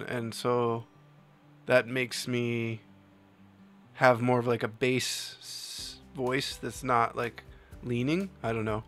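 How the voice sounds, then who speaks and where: A man's voice: mid-range voice, a bit bright. A young man from New Jersey hosting a live stream, chatting casually with his chat, rambling and laughing often. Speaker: livestream host.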